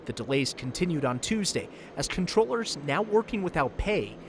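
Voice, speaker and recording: A man's voice: on the high side, big pitch swings, moderate pace, noisy background. An American man delivering a broadcast news report as a TV reporter.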